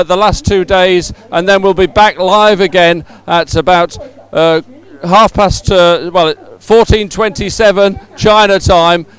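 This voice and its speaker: A man's voice: high voice, smooth. A man hosting a live sports stream in an informal, somewhat hesitant way. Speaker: livestream host.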